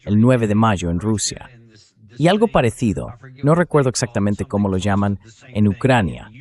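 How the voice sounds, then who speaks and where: A man's voice: fairly low pitch, darkish tone. A synthetic AI man's voice reading a dubbed translation of explanatory military commentary.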